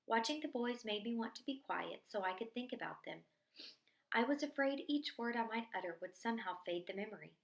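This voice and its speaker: A woman reading a diary-style story aloud in an expressive narrating style, acting out the dialogue. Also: mid-range voice. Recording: echoey room.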